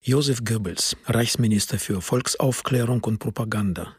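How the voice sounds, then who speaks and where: Raspy male radio announcer. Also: lowish voice, moderate pace, no echo, studio quality. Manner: sober, announcing. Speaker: narrator. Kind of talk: documentary radio drama announcing.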